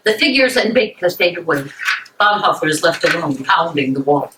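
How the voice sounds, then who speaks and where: A woman's voice: fairly low pitch, animated, moderate pace. Echoey, distant woman reading stage directions. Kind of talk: scripted narration. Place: roomy indoor hall, off mic. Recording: echoey, distant mic, noisy room.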